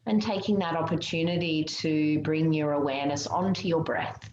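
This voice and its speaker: A woman leading a guided meditation in a soothing, gentle way, giving unhurried instructions with pauses. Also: sits low, fairly even intonation. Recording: muffled, roomy, noticeable background hiss.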